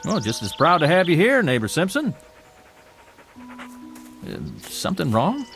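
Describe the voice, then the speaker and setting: Wheedling heavenly gatekeeper, rural Southern drawl, high pitch, wildly swinging intonation, old TV drama. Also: male voice, huge pitch swings, a bit bright, faint music behind, full character voice. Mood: sly, genial. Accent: rural Southern American. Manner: folksy, coaxing, drawn-out phrasing. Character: folksy, wheedling male gatekeeper of a false heaven. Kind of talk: vintage television drama acting.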